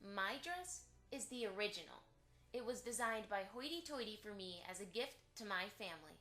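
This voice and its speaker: A woman's voice: mid-range voice, expressive, moderate pace, pushed and bright, faint music behind. A woman playing a spiteful, condescending young unicorn filly from a rich family in an amateur audio drama. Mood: snooty disdain. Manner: condescending, haughty.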